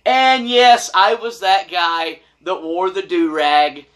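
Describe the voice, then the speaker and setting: A middle-aged man tells a personal story casually to camera in an animated, folksy, colloquial way. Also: high-pitched, slow. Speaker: storyteller, vlog host. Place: small wood-panelled room indoors.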